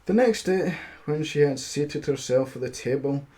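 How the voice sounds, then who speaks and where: A man's voice: on the high side, expressive, normal speed, warm tone. A man hosting a live fairy-tale reading, self-deprecating, stumbling over words and breaking into crude casual asides. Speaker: storytelling livestream host.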